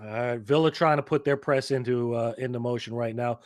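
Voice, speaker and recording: A man's voice: medium pitch, fairly even intonation, a little fast. A man co-hosting a football watchalong in a laid-back, casual way, often tacking "man" onto his sentences. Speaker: co-host. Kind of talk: casual match chat.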